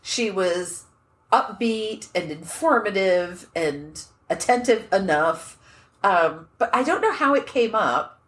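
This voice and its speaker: A woman's voice: medium pitch, takes it slowly. A woman co-hosting a podcast, chatting casually and telling stories with frequent laughter. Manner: animated storytelling, laughs often. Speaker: podcast co-host. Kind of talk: casual chat. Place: room at a writers' conference.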